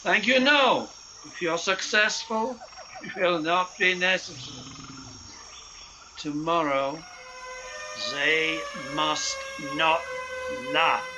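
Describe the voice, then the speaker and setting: Male actor as cold spymaster for radio drama, high and drawn-out delivery, menacing, superior. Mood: silky, menacing. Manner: deliberate, menacing, condescending. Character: sinister, coldly sardonic saboteur boss. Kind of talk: radio play acting. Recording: streamed recording with faint noise.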